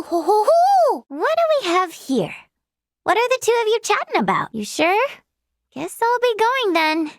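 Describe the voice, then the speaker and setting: A woman voicing a cheerful, excitable, inquisitive teenage girl in a video game, with a perky, teasing, youthful manner. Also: very high voice, no echo, clean studio sound. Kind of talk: video game character dialogue.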